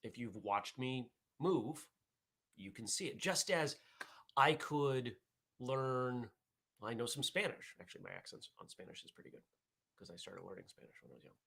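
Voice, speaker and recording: A man's voice: medium pitch, very animated, moderate pace, creaky, pushed and bright, husky. A man hosting a martial arts morning show, chatting loosely with viewers, often restarting sentences and reading their comments aloud. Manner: rambling, self-correcting, reads viewer chat aloud. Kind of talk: casual live-stream chat with viewers.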